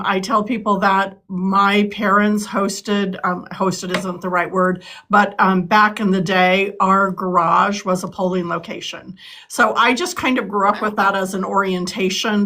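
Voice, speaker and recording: Bright-voiced woman in her sixties. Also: mid-range voice. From California. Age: 60s. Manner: earnest, with frequent ums and restarts. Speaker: political candidate. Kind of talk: conversational interview answers.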